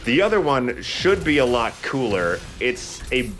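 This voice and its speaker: A man's voice: medium pitch, big pitch swings, a little slow, a bit bright. A man giving informal, explanatory and jokey commentary while playing a shooter game. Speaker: let's player giving commentary. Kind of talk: casual gameplay commentary over a shooter.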